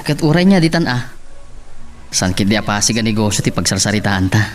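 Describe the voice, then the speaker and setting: An actor playing an earnest, gentle young man in love in an Ilocano radio romance drama. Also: on the low side, lively intonation, a little fast, lightly acted. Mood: tender, coaxing. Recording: music behind the voice.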